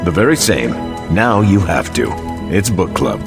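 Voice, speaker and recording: An actor playing an earnest, thoughtful veteran soldier superhero in a video game, speaking in measured declarations. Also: male voice, low-pitched, sing-song, vocal fry, music in the background, exaggerated voice. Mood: friendly.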